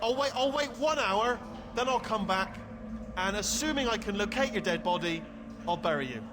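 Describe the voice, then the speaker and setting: A man's voice: high voice, expressive, moderate pace, background noise. An actor voicing a nervous, bumbling male robot sphere who rambles and restarts his sentences constantly. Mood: chatty. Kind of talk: video game voice acting.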